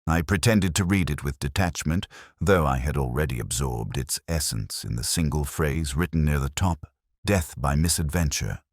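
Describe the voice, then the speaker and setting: A man narrating a scripted mystery as a reflective, sceptical police detective, in a measured, formal, introspective manner. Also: very deep voice, lively intonation, no room sound, studio quality. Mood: brooding, reflective. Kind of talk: scripted first-person mystery narration, literary.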